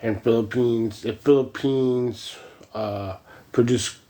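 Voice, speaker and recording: A man's voice: mid-range voice, steady tone, dark tone. Rambling American man in his forties. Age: 40s. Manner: meandering, slangy, halting. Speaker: vlogger. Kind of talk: rambling casual chat.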